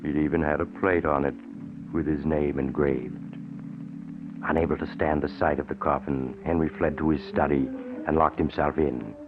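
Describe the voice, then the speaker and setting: A man's voice: extremely low pitch, level tone, moderate pace. A man narrating a suspense tale in a grave, dramatic vintage radio style. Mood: grave, ominous. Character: grave male narrator of mystery tales. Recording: noisy, tinny old radio recording.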